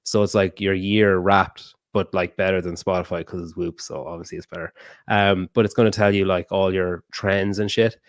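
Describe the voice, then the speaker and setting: A man's voice: deep voice, fairly even intonation, moderate pace, no echo, studio quality. A man hosting a podcast in loose, casual chat, rambling and swearing freely as he reads sponsors and talks with his guest. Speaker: podcast host.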